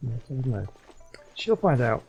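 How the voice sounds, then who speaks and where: Husky, mellow male gamer commenting casually. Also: medium pitch, very animated, a little slow. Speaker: gamer, let's play host.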